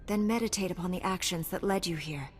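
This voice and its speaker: An actress playing a calm, authoritative, fair-minded female knight in a video game. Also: lowish voice, brisk, lightly acted. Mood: composed, firm. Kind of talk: video game cutscene acting. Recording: faint music behind.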